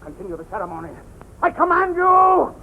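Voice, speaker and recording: Ruthless false-god villain, very high and declamatory, 1940s radio serial on a tinny old recording. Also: male voice, sing-song, brisk, heavily acted. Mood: defiant, angry. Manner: imperious, declamatory. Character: tyrannical villain posing as a god, commanding and ruthless.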